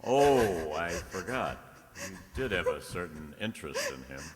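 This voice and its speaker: An actor playing a cruel, taunting stepbrother with a smugly superior manner, in the style of an old radio thriller. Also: male voice, low-pitched, sing-song, measured pace, a crowd in the background. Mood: mocking, smug. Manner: mocking, smugly superior drawl. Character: cruel, taunting stepbrother who relishes women's tears. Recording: echoey hall radio-play recording.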